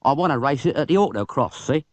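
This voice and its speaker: A British man voicing a rough, blunt rally driver with colloquial speech in a storybook. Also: medium pitch, animated, fast talker, no room sound, pitched above the performer's own voice, natural voice. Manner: colloquial, clipped grammar.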